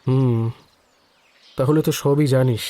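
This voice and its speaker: An actor playing a casual, slightly evasive estate employee in a Bengali audio story, chatty and familiar with some hesitation. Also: male voice, mid-range voice, steady tone, unhurried, mellow, lightly acted.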